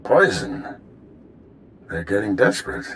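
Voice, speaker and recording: A man's voice: lowish voice, huge pitch swings, unhurried, gravelly, a little hiss or hum, full character voice, rough recording. An actor playing a seasoned warrior-monk mentor in a video game, businesslike and authoritative as he gives orders. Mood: grave, commanding. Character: seasoned, steady Jedi master mentor giving orders. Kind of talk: video game drama acting.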